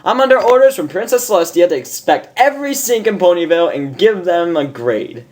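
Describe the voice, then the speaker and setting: A woman's voice: on the low side, expressive, fairly quick, a little mellow, pitched above the performer's own voice, lightly acted. A woman voicing a cartoon pegasus mare who bluffs as a self-important inspector, pompous and emphatic. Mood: officious bluffing.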